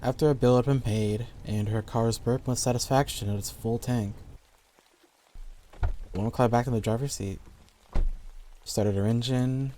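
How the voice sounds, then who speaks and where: A man's voice: medium pitch, fairly even intonation, moderate pace, pauses often, dark tone, a little hiss or hum. A man reading a scripted horror story as the narrator of an audio play. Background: background music.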